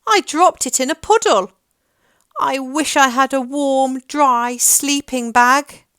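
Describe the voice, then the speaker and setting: A woman's voice: high-pitched, takes it slowly, a bit bright, no room sound, studio quality, pitched above the performer's own voice. A woman voicing a weepy little storybook fox with a tearful, plaintive manner.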